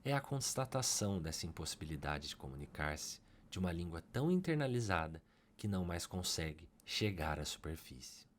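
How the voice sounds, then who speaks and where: A man with a Brazilian Portuguese accent reads a literary essay aloud in a low voice. His pitch moves a great deal, lifting on key terms and then dropping back. The delivery is measured and academic. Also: very animated, a little hiss or hum. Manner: measured, academic reading with rising emphases. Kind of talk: scripted literary analysis narration.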